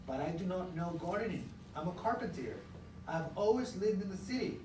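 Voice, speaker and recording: A man reads as a humble old storybook grandfather, earnest and a little unsure. The pitch is fairly high and the sound is distant and echoey, with noise behind it. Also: lively intonation, brisk, darkish tone. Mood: worried. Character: humble, uncertain old grandfather, a city carpenter. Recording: roomy, noisy classroom, recorded from afar.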